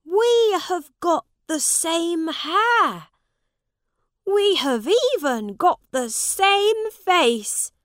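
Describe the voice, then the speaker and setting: A woman voicing a lordly, eager young English prince in a storybook, speaking emphatically with drawn-out words. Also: very high voice, sing-song, fairly bright tone, no room sound, studio quality, voice pushed up, exaggerated voice. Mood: excited, eager. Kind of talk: storybook character voice.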